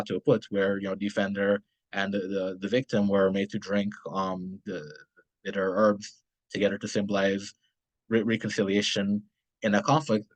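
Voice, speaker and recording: A man's voice: fairly low pitch, no room sound, poor audio. A man from Ghana giving an earnest academic lecture, with frequent restarts.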